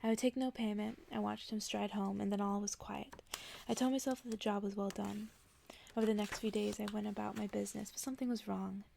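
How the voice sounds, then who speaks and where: A woman reads a bedtime story in a soft, very creaky voice with a dark, mellow tone. Her delivery stays even and hushed, often drifting into whispers in ASMR style. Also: medium pitch, brisk, slight background noise. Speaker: ASMR reader.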